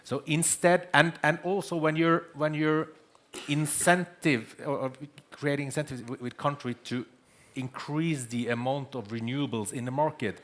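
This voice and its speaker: A man from Norway with a Norwegian accent, explaining haltingly on a conference panel, stammering at the starts of words. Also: on the high side, fairly even intonation, fairly bright tone. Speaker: panelist, data center chief executive. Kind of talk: panel discussion, unscripted explanation.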